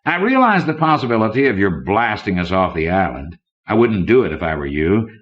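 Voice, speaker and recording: A male narrator plays a smug banker villain in an audiobook story, ruthless and oily. He threatens with smooth condescension in a low-set man's voice. The sound is muffled, like an old cassette. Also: sing-song, a little fast. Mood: smug menace. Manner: oily, smooth-tongued menace. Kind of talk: audiobook character dialogue. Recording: dull lo-fi old cassette recording.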